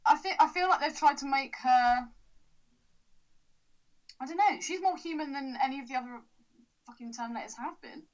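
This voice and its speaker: A woman's voice: sits high, normal speed, long pauses, lo-fi sound. A woman co-hosting a podcast in casual chat, questioning and picking apart a film's logic with the odd swear. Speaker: podcast co-host. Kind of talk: casual film discussion.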